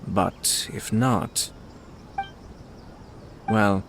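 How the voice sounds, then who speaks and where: An actor playing a composed, courteous and shrewd young nobleman in a video game, speaking formally and with measured politeness. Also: lowish voice, fairly bright tone, a little hiss or hum, full character voice. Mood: thoughtful, measured. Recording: music playing behind the voice.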